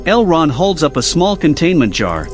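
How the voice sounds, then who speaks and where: A male narrator delivers scripted dramatic narration for an audio drama. His voice sits slightly high and moves with lively intonation over a clear music bed. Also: moderate pace.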